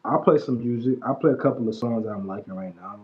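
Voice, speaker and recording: A man's voice: mid-range voice, quick pace. A man in his late twenties chatting casually on a livestream about music, laid back and slangy, trailing off into mumbled asides. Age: 20s. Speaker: livestream host. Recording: dull lo-fi stream audio.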